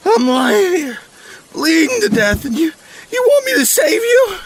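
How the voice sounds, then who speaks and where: A man's voice: lowish voice, sing-song, normal speed, bright, forceful tone, full character voice. A man playing a scheming, foul-mouthed secret lover in a horror audio drama, with a dramatic delivery. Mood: agonized, frantic.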